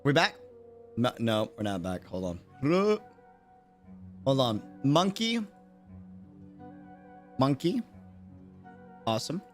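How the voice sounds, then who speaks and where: A man's voice: medium pitch, very animated, slow pace, pauses often, faint music behind. A man talking casually and jokily in slang as he livestreams a video game. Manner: slangy, informal, jokey. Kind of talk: casual livestream chat and reactions.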